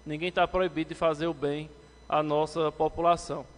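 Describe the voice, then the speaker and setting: A man's voice: level tone, normal speed. Councilman's formal speech in a reverberant chamber. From Brazil. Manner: formal, addresses the chair, rises to emphatic pitch when criticizing. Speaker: city councillor. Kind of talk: legislative floor speech. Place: council chamber, indoors, medium-sized. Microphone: lectern microphone, standing.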